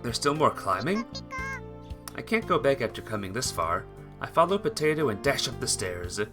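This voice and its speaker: Casting: male streamer, lively intonation, casual chat plus story read-aloud, music bed. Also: mid-range voice, moderate pace. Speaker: game streamer reading a visual novel aloud. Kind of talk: casual livestream chat mixed with expressive read-aloud narration.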